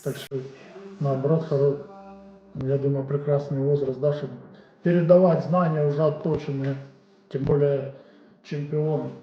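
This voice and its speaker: A man's voice: a little high, fairly even intonation, moderate pace, long pauses, roomy sound, dull audio. A man in his forties with a Ukrainian accent, a boxing coach chatting casually with viewers on a home live stream. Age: 40s. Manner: casual, friendly, answers chat questions off the cuff. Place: room at home.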